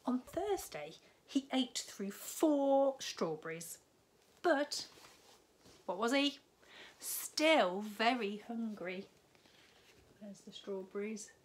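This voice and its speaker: A woman, a primary school teacher reading a picture book aloud to children from her living room. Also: a little high. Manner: slow, expressive storytime reading with lively rises on key words. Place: living room at home, indoors.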